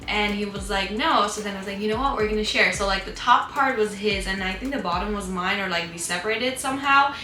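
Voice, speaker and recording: Woman, Armenian accent, twenties, casual vlog chat, lots of fillers, bright tone, faint hiss. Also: mid-range voice, fast, few pauses, echoey room. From Iran. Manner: chatty, frequent "like" fillers, candid. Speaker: vlogger. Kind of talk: casual get-to-know-me chat while doing makeup. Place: at home, getting ready.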